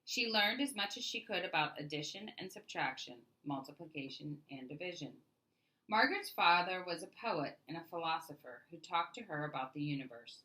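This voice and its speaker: A woman with a bright, crisp-edged voice that sits a little low reads a children's book aloud. She narrates expressively, phrasing deliberately and leaning on key words as a storyteller would. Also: lively intonation, rough recording.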